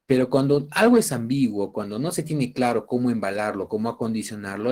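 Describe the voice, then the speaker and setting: A male university lecturer with a Peruvian Spanish accent and a fairly low voice. He explains legal and trade cases to an online class in a didactic way. He often ends points with short tag questions to check understanding. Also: darkish tone. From Peru.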